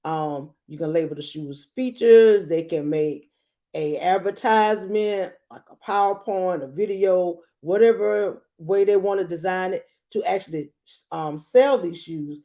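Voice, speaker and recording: A woman reading a storybook aloud like a teacher, adding expressive explanations and checking in with her listeners. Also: on the low side, a little slow. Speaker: children's book read-aloud teacher.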